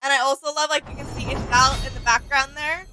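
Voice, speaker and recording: A woman's voice: high-pitched, steady tone, brisk, noisy background. A young woman co-hosting a reaction video in a casual, conversational style. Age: young adult. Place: small home room with desk, indoors. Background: music.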